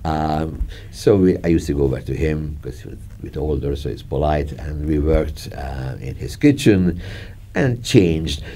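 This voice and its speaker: An older man with a Hungarian accent recalls his work with a chuckle, his phrases halting and looping back. His voice sits very low and sounds warm and mellow. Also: lively intonation, breathy edge. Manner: chatty, laughing, gesturing, halting. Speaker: film director. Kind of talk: reminiscing interview. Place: dark studio, seated in armchair.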